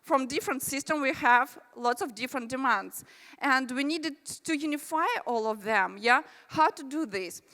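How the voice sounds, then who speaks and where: A woman's voice: sits high, normal speed, sharp tone. Russian-accented woman presenting in a hall. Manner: friendly, chatty, with asides to the audience. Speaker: conference presenter. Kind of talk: conference presentation. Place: large conference hall. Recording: reverberant hall.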